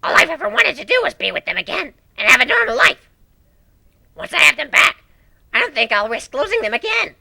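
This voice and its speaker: A man's voice: extremely high pitch, big pitch swings, fairly quick, creaky, sharp tone, pitched above the performer's own voice, full character voice. A man voicing an earnest, anguished dog creature, a former slave turned strategist, in a dramatic story reading. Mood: sad, wistful.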